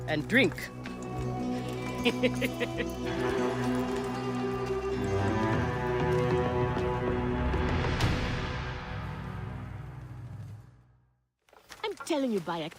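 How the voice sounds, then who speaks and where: A big hearty gladiator gal in a game, theatrical, super animated, slow pace, music behind. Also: female voice, fairly low pitch, exaggerated voice. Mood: delighted, boisterous, then ambitious, eager. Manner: exuberant, theatrical. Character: boisterous, ambitious woman gladiator, hearty old friend. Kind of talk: dramatic video game acting.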